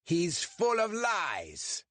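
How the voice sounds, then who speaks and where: A man's voice: medium pitch, huge pitch swings, normal speed, breathy edge, no echo, studio quality, pitched above the performer's own voice. A man voicing a hostile German soldier with a German accent, harsh and accusing. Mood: roaring.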